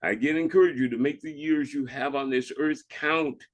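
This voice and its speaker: A man speaking as a reverend, reading scripture and devotional reflections in a measured, deliberate way. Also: a little high.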